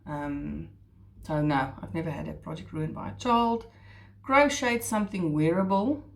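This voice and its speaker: A woman's voice: sits low, unhurried, vocal fry. A middle-aged woman, an Afrikaans speaker, hosting her crochet channel in a chatty, self-deprecating way with hesitant restarts. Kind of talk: casual chat.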